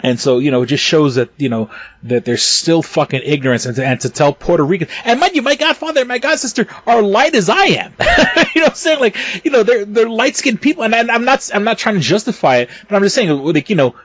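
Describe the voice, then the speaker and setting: A man on a casual podcast, pitched a little higher than most men, swearing freely as he gets worked up. He trips over his words and restarts, and the sound is dry and dull like a lo-fi home recording. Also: expressive, quick pace, fairly bright tone, dry recording. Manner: heated and profane, stumbling restarts, bursts of laughter. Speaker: podcast co-host.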